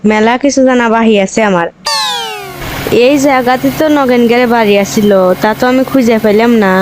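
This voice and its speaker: A woman acting an earnest young village woman, higher-pitched. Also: a little fast, background noise, lightly acted. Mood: regretful, troubled. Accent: rural Bangladeshi Bengali dialect. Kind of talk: Bangla comedy cartoon dubbing.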